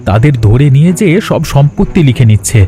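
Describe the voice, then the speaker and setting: A man voicing a worried cartoon husband searching for his missing wife, earnest and mellow. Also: medium pitch, lively intonation, quick pace, soft background music, close to an everyday voice.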